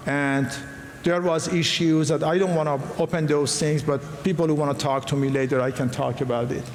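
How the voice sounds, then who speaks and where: A middle-aged man from Iran with an Iranian accent, giving a personal talk to a live audience in an earnest, halting way with frequent restarts. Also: fairly high pitch, steady tone. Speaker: guest speaker. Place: large hall. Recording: echoey hall, faint room noise.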